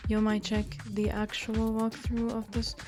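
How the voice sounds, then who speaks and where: A woman's voice: a little high, completely flat delivery, a little slow. A woman giving playful, sassy commentary as she hosts a game walkthrough, with joking asides and bits read aloud. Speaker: host of a visual novel walkthrough channel. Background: music.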